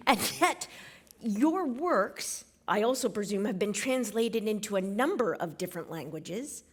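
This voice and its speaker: A woman hosting an onstage author interview at a library event, self-deprecating and quick to laugh, on a stage mic. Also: mid-range voice, lively intonation, breathy edge. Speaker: interview host. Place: public library event hall.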